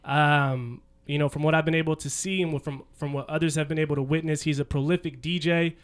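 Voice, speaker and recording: A man's voice: on the high side, flat delivery, fast talker, smooth. A man hosting a podcast, talking in a casual, upbeat way and hyping up his guest. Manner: upbeat, hype, lots of "man" and "shout out". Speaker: podcast host. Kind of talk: casual interview chat.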